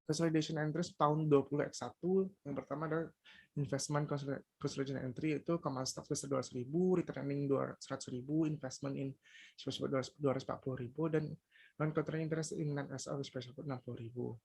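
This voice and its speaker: A young man with an Indonesian accent reading out an academic presentation as a student, haltingly, stumbling over English technical terms. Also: on the high side, steady tone, gravelly, a little mellow, slightly rough. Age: young adult. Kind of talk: scripted academic presentation, read aloud.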